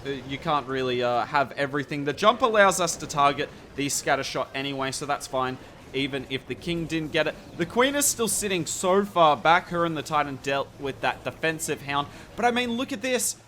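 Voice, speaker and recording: Male game commentator, slightly raised pitch, voice a touch worn, upbeat explainer; light background hiss. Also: animated, a little fast, pushed and bright. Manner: enthusiastic, conversational, points things out to viewers. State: slightly hoarse voice. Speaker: gameplay commentator. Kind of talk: strategy commentary over gameplay footage.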